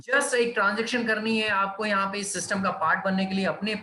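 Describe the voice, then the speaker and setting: A man delivers a persuasive sales pitch, his voice pitched high and bright. He repeats key phrases and fills pauses with "uh". The room sounds echoey, with a faint hum of ambience behind him. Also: fairly even intonation. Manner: persuasive, repetitive, many fillers, reads out names. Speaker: presenter of an online business opportunity session. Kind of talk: live online sales presentation in Hindi-English mix.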